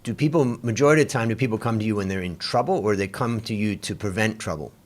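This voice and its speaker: A man's voice: fairly low pitch, animated, quick pace. A man hosting an interview, asking curious, conversational questions. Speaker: podcast host.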